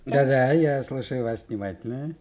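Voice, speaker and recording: An actor playing a polite, flustered and bewildered butcher in a fairy-tale audio play. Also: male voice, medium pitch, moderate pace, darkish tone, natural voice. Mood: baffled. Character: polite, flustered, baffled sausage-shop owner.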